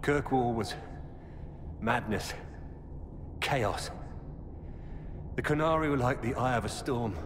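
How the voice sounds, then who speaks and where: An actor playing a grim former Templar turned Qunari informant in a video game, speaking in a grave, measured, earnest way. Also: male voice, medium pitch, close to an everyday voice. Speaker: game character. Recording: game audio with long reverb and ambient noise.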